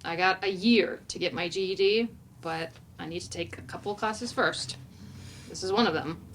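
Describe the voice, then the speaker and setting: A woman's voice: fairly low pitch, moderate pace, a bit bright, a little hiss or hum, natural voice. A woman playing a plain-spoken single mother in her thirties, giving a casual, frank monologue at a staged play reading. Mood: candid, determined. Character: blunt working single mom in her thirties, back at school.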